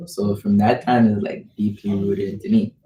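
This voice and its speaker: A young man in his late teens from the Bahamas speaks in a fairly low voice. He talks casually and searchingly, feeling his way through his thoughts, recorded at a distance in an echoey space with faint noise. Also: level tone, normal speed, dark tone. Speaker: young man exploring religion. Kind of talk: casual religious discussion.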